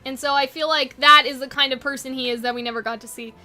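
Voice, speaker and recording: A woman hosting a casual brainstorming chat, animated and rambling, with a playfully dismissive way of talking. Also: sits high, quick pace. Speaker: video host.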